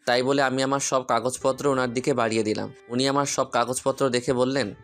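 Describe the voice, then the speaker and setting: Male story narrator, mid-pitched voice, guitar music underneath. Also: fairly even intonation, a little fast, fairly bright tone. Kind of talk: scripted first-person story narration with acted dialogue.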